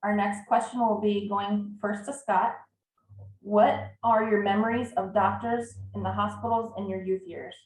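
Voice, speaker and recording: A woman's voice: medium pitch, level tone, a little slow. A woman moderating an online panel and reading out questions, with roomy call audio.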